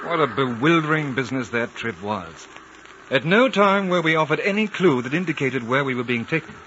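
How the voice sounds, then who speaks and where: A composed British consul in a 1950s radio drama. His voice is bright and understated, courteous and calm. The broadcast sounds muffled with light noise. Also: medium pitch, brisk. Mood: reflective.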